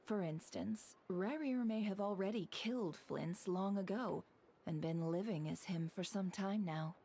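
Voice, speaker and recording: A woman playing a shrewd, composed strategist in a game, speaking in a deliberate, articulate, analytical manner. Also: medium pitch, warm tone, a little hiss or hum, natural voice. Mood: calm, assured. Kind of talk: scripted game dialogue.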